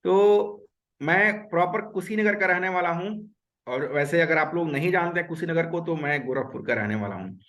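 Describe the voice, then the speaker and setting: A man's voice: fairly high pitch, normal speed, a bit bright. Earnest man teaching a webinar. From Uttar Pradesh, India. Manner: earnest and repetitive, mixes English words. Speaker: webinar instructor. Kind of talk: online webinar lecture. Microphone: video call.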